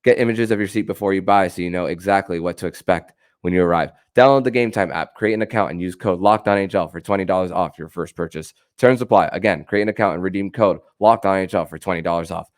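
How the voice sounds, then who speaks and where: A man hosting a sports podcast, with a halting delivery that often stammers and repeats words. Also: low voice. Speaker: podcast host.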